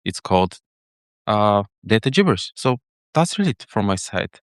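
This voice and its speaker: A middle-aged man from Bulgaria with a Bulgarian accent, explaining things casually as an interview guest, thinking aloud in halting phrases. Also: on the low side, sing-song, no room sound, clean studio sound. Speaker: interview guest, head of data engineering.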